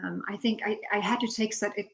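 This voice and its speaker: A woman whose first language is Finnish, answering questions as a poet in a halting, reflective way over a video call. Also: mid-range voice, steady tone, creaky. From Denmark. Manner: halting, reflective, searching for words. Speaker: poet guest. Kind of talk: casual Q&A answers.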